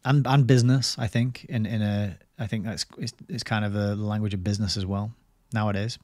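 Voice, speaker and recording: A man's voice: on the low side, steady tone, a little fast, darkish tone, no echo. Chatty English man, dry close mic. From England. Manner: rambling, chatty, laughs often. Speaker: livestream host reading a book. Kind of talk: casual livestream chat with viewers. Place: home study lined with bookshelves. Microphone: studio mic on boom arm.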